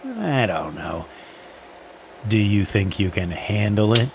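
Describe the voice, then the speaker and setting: A man's voice: on the low side, very animated, slow, heavily acted. An actor playing a teasing male old friend trading fireside stories in casual audio drama banter. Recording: dull lo-fi radio sound, faint noise.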